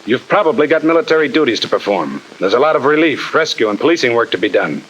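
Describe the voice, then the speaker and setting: An actor playing a tough-talking, slangy army sergeant who lectures in a 1950 military training film. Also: male voice, mid-range voice, sing-song, quick pace, a bit bright, dull audio, slight background noise. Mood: blunt, reassuring. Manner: blunt, slangy, wisecracking. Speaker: instructor.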